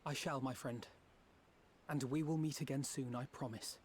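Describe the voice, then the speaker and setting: An actor playing a solemn, idealistic noble leader in a fantasy video game, speaking in a formal, eloquent way. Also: male voice, medium pitch, fairly even intonation, normal speed, a little hiss or hum, close to an everyday voice. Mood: sincere. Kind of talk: dramatic video game voice acting. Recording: music behind the voice.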